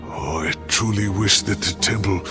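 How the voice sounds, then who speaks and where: A man's voice: fairly low pitch, normal speed, music in the background, close to an everyday voice. An actor playing an earnest, regretful older male professor who speaks in a heartfelt, pleading way. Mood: wistful. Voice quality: gravelly. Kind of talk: video game cutscene acting.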